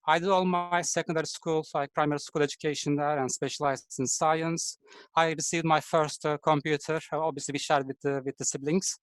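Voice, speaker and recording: Brief: Turkish-accented male engineer, slightly high voice, dry video-call sound, halting and friendly. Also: fairly even intonation, smooth, no room sound. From Turkey. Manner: halting, many fillers, friendly explaining. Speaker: design engineer giving a talk. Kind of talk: online presentation to pupils.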